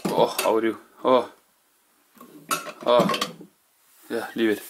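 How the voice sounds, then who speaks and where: Guy with a lowish voice, slow hushed mumbling while poking around an old empty house. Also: flat delivery, noisy background. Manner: hushed, musing, non-native English phrasing. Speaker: urban explorer vlogger. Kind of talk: casual narration while exploring. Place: indoors in an abandoned house.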